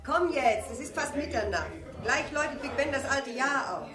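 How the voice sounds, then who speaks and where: A woman's voice: high-pitched, expressive, normal speed. A woman playing a disdainful society wife in a German radio crime play. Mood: weary, urging. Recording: roomy, distant, amid party crowd.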